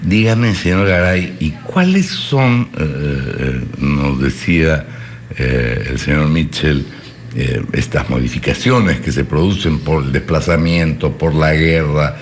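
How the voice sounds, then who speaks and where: A man's voice: extremely low pitch, expressive, takes it slowly, slight background noise. A man co-hosting a news interview, asking questions with many hesitations. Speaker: news program co-host. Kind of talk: television interview.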